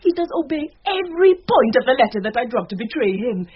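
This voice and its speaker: An actress playing a gleeful, scheming maidservant in classic radio Shakespeare style, gossipy and emphatic. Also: female voice, a little high, sing-song, fast. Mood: gleeful mischief. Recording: dull, faintly noisy old radio broadcast.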